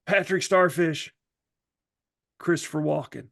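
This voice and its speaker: A man trades casual comic banter as a podcast co-host. He takes his time, with a gravelly creak in a close, dry recording, and he likes slipping into joking impressions. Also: a little high, slow, a bit bright, dry recording. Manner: joking, breaks into celebrity impressions.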